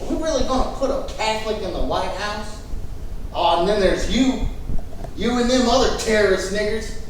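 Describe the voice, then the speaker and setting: A nasty american jailer in a stage musical, taunting drawl, really high voice, big echo. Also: male voice, lively intonation, moderate pace, a little hiss or hum. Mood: sneering contempt. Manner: folksy, taunting, drawling vernacular. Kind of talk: staged musical theatre reading. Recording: echoey hall, distant mic, faint music.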